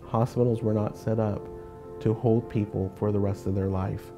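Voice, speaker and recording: A man making points in a measured, rhetorical way in a documentary interview. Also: fairly low pitch, steady tone, over music.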